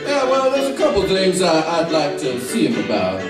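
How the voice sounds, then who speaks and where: A man playing a polite, steady, somewhat hesitant marshal in an old-time radio western. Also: sits high, moderate pace, over music. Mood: polite, probing. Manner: hesitant, courteous, understated. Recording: distant, very echoey stage recording.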